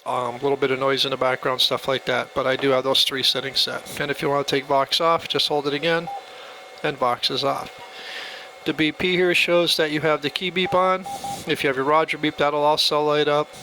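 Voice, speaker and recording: A man with a bright, forceful voice pitched a little high walks through a demonstration. He explains things step by step in a casual, plainspoken way, with faint noise behind him. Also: level tone, fairly quick, low-quality recording. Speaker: product demonstrator. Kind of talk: casual hands-on tutorial.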